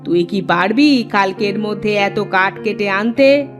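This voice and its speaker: A woman's voice: mid-range voice, a little slow, over music, natural voice. A woman voicing the bossy mistress of a big house in a cartoon, giving orders. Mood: instructing.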